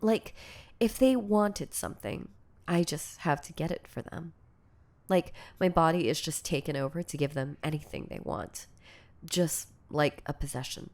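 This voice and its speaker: A woman acts out a lovestruck yandere girlfriend in an intimate audio roleplay. Her voice is low for a woman and soft. She speaks very slowly, hesitating and stammering with shy, insecure devotion. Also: animated. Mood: tender, devoted.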